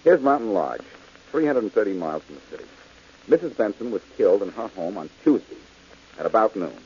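An actor playing a confident, clever detective in an old radio drama, brisk and self-assured. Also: male voice, on the low side, big pitch swings, dark tone. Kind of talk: 1940s radio acting. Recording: dull old radio broadcast with hiss.

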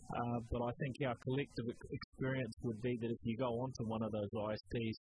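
A man's voice: mid-range voice, flat delivery, a little fast, darkish tone, slight background noise, low-quality recording. A man with a New Zealand accent hosting a casual tech podcast, talking with frequent ums and restarts. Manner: hesitant, many ums and restarts. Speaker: podcast host.

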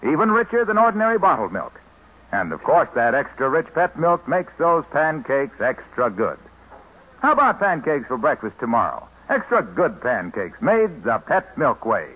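A man's voice: mid-range voice, big pitch swings, normal speed, husky. A man working as a 1940s radio announcer, reading scripted advertising with folksy, emphatic salesmanship. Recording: thin, phone-like vintage radio recording.

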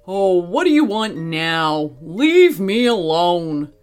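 Grumpy loner gorilla for a children's story, acted by a woman: huffy, dismissive, drawn-out words with big pitch swings. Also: animated, darkish tone, faint music behind. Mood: irritated, dismissive. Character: grumpy, rude, impatient male gorilla loner.

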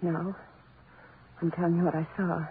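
An actress playing a frightened, devoted wife in a 1940s radio horror drama, speaking in a pleading, hesitant, breathless way. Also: female voice, lowish voice, little pitch movement, moderate pace, close to an everyday voice. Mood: shaken, insistent. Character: terrified, pleading young wife, devoted and shaken. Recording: very noisy, tinny 1940s radio recording.

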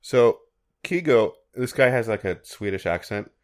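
A man's voice: on the low side, expressive, a little slow, a little mellow. A man co-hosting a podcast, talking in an easygoing, amused way about odd products. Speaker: podcast co-host. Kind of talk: casual chat.

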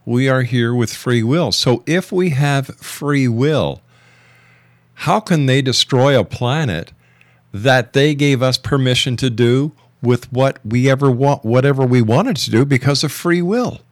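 A man's voice: mid-range voice, measured pace, dry recording. A man hosting and presenting a radio interview show. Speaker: radio host.

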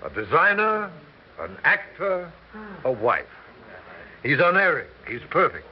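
Fawning, theatrical actor, animated voice. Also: male voice, a little high, unhurried. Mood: gushing, ingratiating. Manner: effusive, theatrical, flattering. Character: fawning, florid middle-aged actor begging for work. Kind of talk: 1960s radio drama acting. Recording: dull vintage radio, party chatter behind.

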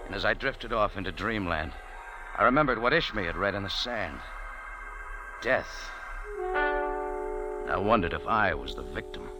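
An actor playing a tough café owner who narrates a 1940s radio drama in a laconic, hard-boiled way. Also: male voice, fairly low pitch, lively intonation, unhurried, pauses often. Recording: old radio broadcast with music.

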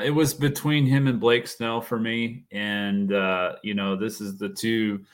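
A man with a low voice talks through his picks in a loose, halting way, filling the gaps with "um" and "you know". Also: clear, resonant tone. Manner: hesitant, lots of fillers. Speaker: podcast co-host. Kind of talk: casual sports podcast chat.

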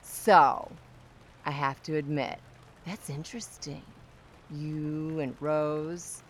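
A woman's voice: low-pitched, steady tone, slow. A woman playing a tipsy, nosy and pushy young neighbour in a comic audio drama, chatty, teasing and insistent. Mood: teasing, probing. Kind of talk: audio drama comedy acting.

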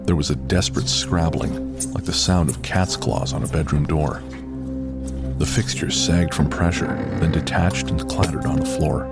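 A man's voice: very deep voice, steady tone, moderate pace, loud music behind the voice. A man narrating a scripted horror audio drama as storyteller.

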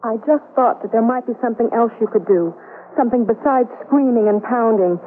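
A woman's voice: fairly high pitch, fairly quick, lightly acted. Actress playing a nervous young woman. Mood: anxious. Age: 20s. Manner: anxious, earnest questions. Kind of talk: old-time radio drama acting. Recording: tinny, lo-fi radio broadcast with faint hiss.

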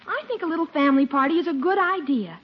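A woman's voice: high voice, expressive, quick pace. A woman playing a sweet, helpful young niece in a 1940s radio comedy. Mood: sweet, hopeful. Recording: muffled 1940s radio recording.

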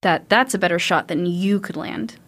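A woman's voice: on the low side, moderate pace, fairly bright tone. A woman playing an eager, confident teenage girl who talks back with sass and defiance. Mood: teasing. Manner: sassy, defiant. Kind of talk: audio drama acting.

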